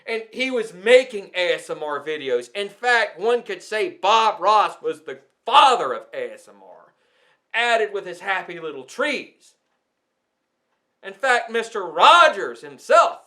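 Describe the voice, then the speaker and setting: A man's voice: high voice, sharp tone. A man who makes ASMR videos, delivering an indignant, emphatic rant that scolds and repeats phrases for effect. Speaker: ASMR content creator. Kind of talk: indignant rant addressed to the platform.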